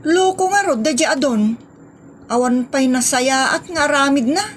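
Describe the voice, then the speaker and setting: A woman's voice: high-pitched, moderate pace, a little hiss or hum, lightly acted. A woman playing a feisty, outspoken daughter with an Ilocano accent in a comedy drama. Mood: indignant.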